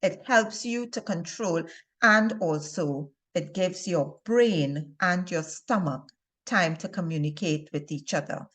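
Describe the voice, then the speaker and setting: A woman from Trinidad and Tobago with a Trinidadian accent gives an informal instructional talk to viewers as a health presenter, speaking deliberately and stressing key words. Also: fairly low pitch. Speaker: health and wellness video presenter.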